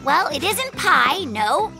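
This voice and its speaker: An actor voicing a gentle, earnest young polar bear cub who speaks instructively, as a proud little cook in a children's cartoon. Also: high voice, big pitch swings, takes it slowly, faint music behind, full character voice. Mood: cheerful, proud. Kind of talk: animated children's cartoon acting.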